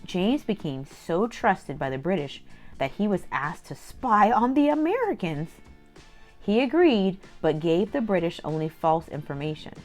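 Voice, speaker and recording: A woman's voice: on the low side, measured pace. Woman reading expressively to children over music. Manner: expressive, exclamatory, speaks directly to young listeners. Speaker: children's storytime host reading aloud. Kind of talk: read-aloud storytelling with asides to children.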